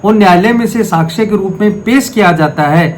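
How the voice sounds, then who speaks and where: High-pitched man explaining law, noisy background. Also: fairly quick. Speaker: legal explainer, video host. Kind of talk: explanatory legal lecture.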